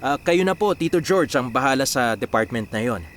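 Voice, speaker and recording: An actor with a Filipino accent playing a confident, driven business strategist in a radio drama, explaining things and mixing in English business phrases. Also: male voice, mid-range voice, animated, a little fast, fairly bright tone, slight background noise, natural voice. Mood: confident, persuasive. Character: confident, driven young male business strategist pitching a plan.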